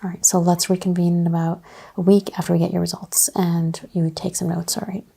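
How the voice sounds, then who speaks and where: Woman, ASMR chiropractor roleplay, soft and half-whispered, mellow tone, gravelly fry. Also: on the low side, level tone, brisk. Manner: soft-spoken, often dropping to a whisper, clinical. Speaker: ASMR host playing a chiropractor.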